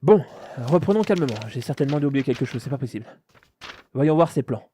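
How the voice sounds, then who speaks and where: Man acting a thoughtful young man, mellow voice. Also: medium pitch, lively intonation, quick pace, faint music behind, close to an everyday voice. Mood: frustrated, focused. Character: determined young man telling and living his story. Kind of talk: scripted audio drama, narration and muttering to himself.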